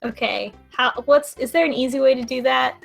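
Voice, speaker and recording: A woman's voice: high voice, brisk, creaky, fairly bright tone. A woman chatting casually as a livestream co-host, chipping in with lightly amused, nitpicking remarks. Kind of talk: casual group chat. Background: music playing faintly.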